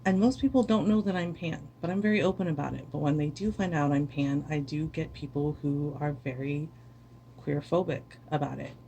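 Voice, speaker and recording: A woman's voice: sits low, fairly even intonation, normal speed, warm tone, a little hiss or hum. A weary woman streamer giving an earnest, impassioned speech to camera, repeating words for stress. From United States.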